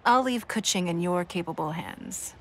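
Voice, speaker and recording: A woman voicing a dignified, composed noblewoman and leader in a video game, with formal, measured speech. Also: on the low side, animated, a bit bright. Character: poised, dignified adult noblewoman and city leader. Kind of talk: animated game voice acting. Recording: music behind the voice.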